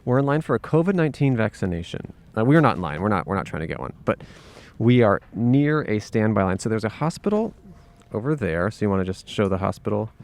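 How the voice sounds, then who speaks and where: A man's voice: lowish voice, big pitch swings, quick pace, darkish tone. A man hosting a casual outdoor podcast, chatting and asking curious questions, often repeating himself. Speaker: podcast host. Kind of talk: casual street interview. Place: outdoors on a city street.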